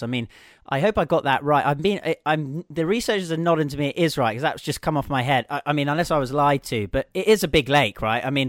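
A man hosting a radio show, chatty and quick to laugh, often stopping to correct himself. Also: on the high side, no room sound, studio quality. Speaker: radio host. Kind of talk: casual radio chat.

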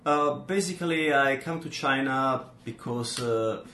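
A man from Milan with an Italian accent, a podcast guest speaking halting, simple English with many fillers. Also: medium pitch, bright, forceful tone, roomy sound, slight background noise. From Milan, Italy.

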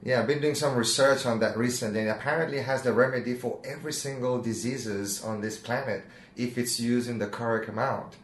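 A man's voice: mid-range voice, steady tone, fairly quick, a little hiss or hum. A man in his late thirties, a podcast guest, chatting earnestly and musing about ideas. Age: late 30s. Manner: earnest, musing, with bursts of laughing protest. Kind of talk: casual chat. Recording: roomy echo.

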